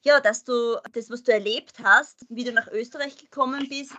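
A woman's voice: a little high, fairly even intonation, moderate pace, pushed and bright. A young woman hosting a podcast who asks her guest chatty questions. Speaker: podcast host. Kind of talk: casual podcast interview.

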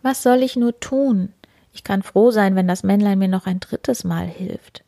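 A woman voicing a desperate young miller's daughter in a storybook tale, high and pleading. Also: a little slow, vocal fry, warm tone, no room sound, studio quality.

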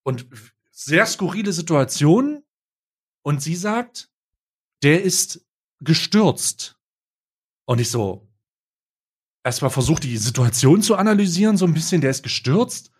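A young man tells a wild story on a podcast, animated and slangy, tripping over his own restarts. His voice sits a little higher than most men's. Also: a little slow, pauses often, breathy edge. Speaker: podcast co-host. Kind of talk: casual storytelling.

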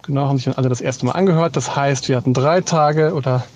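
A man's voice: medium pitch, fast talker, a little mellow. A man giving an informal, explanatory spoken voice message from inside a car.

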